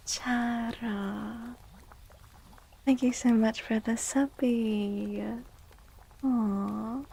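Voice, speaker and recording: A soft-spoken woman hosting a casual ASMR livestream, chatting in a breathy, gentle voice. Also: a little high, low-quality recording. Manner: soft-spoken, greeting and thanking viewers casually. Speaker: livestream host.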